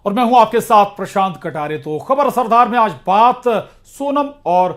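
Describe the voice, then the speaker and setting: A man's voice: sits high, expressive, normal speed, fairly bright tone. A man presenting scripted news as a co-anchor.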